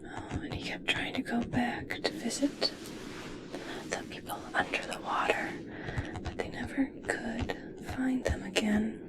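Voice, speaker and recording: A woman playing an obsessed, eccentric scientist in an ASMR roleplay, speaking intimately in a breathy whisper. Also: fairly high pitch, steady tone, natural voice. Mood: wistful. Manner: slow, intimate, wistful storytelling. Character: obsessed, eccentric female human scientist fascinated by merpeople.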